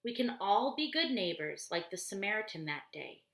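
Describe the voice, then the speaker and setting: A woman reading a children's story aloud expressively, deliberately, explaining things in simple words. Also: mid-range voice, very animated, a bit bright. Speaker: storybook reader for church children. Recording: roomy, echoey indoor sound.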